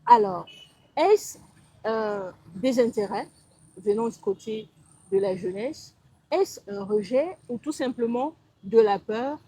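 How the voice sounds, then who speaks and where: A woman in her twenties with a Cameroonian accent, hosting a conversational interview in a halting, searching way. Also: a little high, dark tone, breathy edge, poor audio. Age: 20s. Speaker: interviewer and host. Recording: some background ambient noise.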